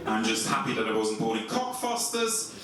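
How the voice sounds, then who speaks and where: A young Swiss man with a German accent, doing a stand-up set in a comedy club with a dry, deadpan delivery. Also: normal speed, distant mic, loud music behind the voice. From Switzerland. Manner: dry, deadpan delivery with lively swings in pitch. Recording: echoey club recorded from afar, audience noise.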